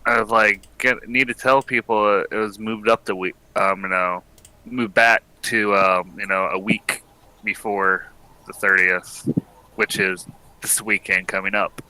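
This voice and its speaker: A man chatting casually and haltingly with others on a livestream, his thoughts trailing off. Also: fairly low pitch, little pitch movement, sharp tone, no echo, rough recording. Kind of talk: casual group chat on a livestream.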